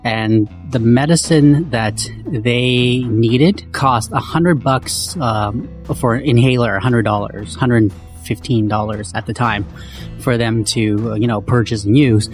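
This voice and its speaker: A man co-hosting a podcast in casual chat, his voice roughened from a sleepless night, talking loosely with many fillers. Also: mid-range voice, measured pace, soft background music. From Canada. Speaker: podcast co-host.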